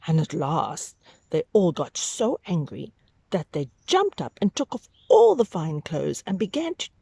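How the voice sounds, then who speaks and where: A woman reading a picture book aloud to young children, with dramatic, drawn-out emphasis and hushed whispery moments. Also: low voice, very animated, creaky, breathy edge, dry recording.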